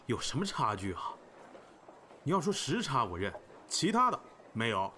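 A man's voice: medium pitch, very animated, normal speed, very noisy, close to an everyday voice. A man playing a devoted, direct young elder brother in an audio drama, frank and composed. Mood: wry. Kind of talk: Chinese audio drama dialogue. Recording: soft guitar music behind the voice.

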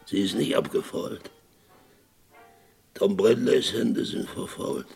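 An actor playing a haunted, drunken old ex-sheriff in a 1960s German radio western, rambling, halting and brooding. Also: male voice, medium pitch, gravelly, a little mellow. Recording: vintage mono radio drama with music.

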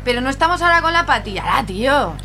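A woman's voice: high-pitched, sing-song, fast, sharp tone, heavily acted. A woman playing a blunt, sardonic but loyal market woman in a colloquial audio drama, with music underneath. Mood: brisk, sardonic.

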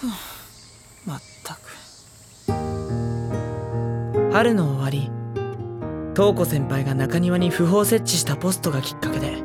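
A woman playing a dry, exasperated high school boy in an anime audio drama, with deadpan retorts. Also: close to an everyday voice. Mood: weary, reflective.

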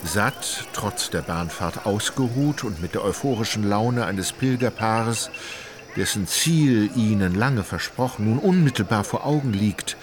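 A man narrating a literary radio play in a measured, deliberate storytelling manner. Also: on the low side, natural voice.

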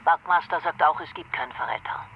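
An actress playing a grave, determined British intelligence officer speaking over the telephone in a radio drama. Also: female voice, lowish voice, animated, fairly quick, vocal fry. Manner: grave, resolute. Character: determined female British intelligence officer hunting war criminals. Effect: telephone filter. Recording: dull noisy telephone line.

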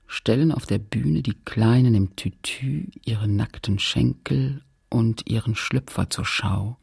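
A man with a husky, gravelly voice narrating a literary radio play in a measured, read-aloud manner. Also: mid-range voice, darkish tone, exaggerated voice.

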